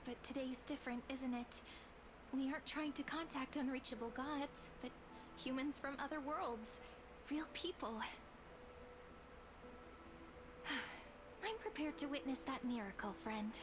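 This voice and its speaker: An actress playing a gentle, dreamy young female companion in a video game, speaking in a soft, earnest, musing way. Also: high-pitched, moderate pace, noisy background, lightly acted. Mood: soft, hopeful. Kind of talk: scripted video game character dialogue. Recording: background music under voice.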